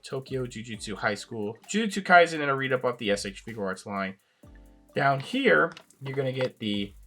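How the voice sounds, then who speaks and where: Guy with a raspy sinus-y voice going over an action figure, laid back, lots of uhs, bit of music behind. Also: medium pitch. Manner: relaxed, rambling, with filler words. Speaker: toy reviewer, channel host. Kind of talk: casual product review.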